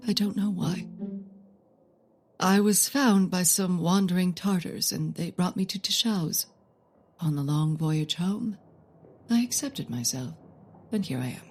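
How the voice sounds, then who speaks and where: An actress playing an intense woman botanist confessing a forbidden love of plants in a comedic audio drama, theatrical, with dramatic pauses and hushed emphasis. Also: lowish voice, husky. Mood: solemn, weary. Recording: prominent music under the voice.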